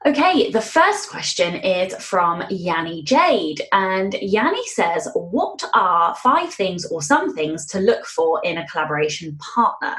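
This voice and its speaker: A woman's voice: on the low side, big pitch swings, unhurried, few pauses, fairly bright tone. A woman interviewing a guest conversationally for a podcast, recorded in an echoey room. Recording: echoey, roomy sound.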